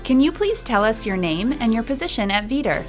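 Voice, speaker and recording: A woman interviewer reading scripted questions in a clear, even way. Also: a little high, fairly quick, loud music behind the voice.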